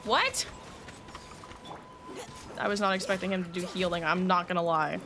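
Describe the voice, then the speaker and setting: A woman's voice: lowish voice, fairly even intonation, moderate pace. A woman giving casual live commentary as she streams a game. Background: game music.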